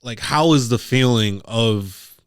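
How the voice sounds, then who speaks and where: A man's voice: mid-range voice, slow pace, clean tone, no echo, clean studio sound. A man interviewing a guest casually as a podcast host.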